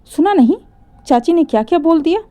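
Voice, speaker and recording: A woman's voice: very high voice, expressive, brisk, warm tone, voice pushed up. A woman voicing a proud older mother-in-law in a family story, bossy, defensive and scolding. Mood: indignant.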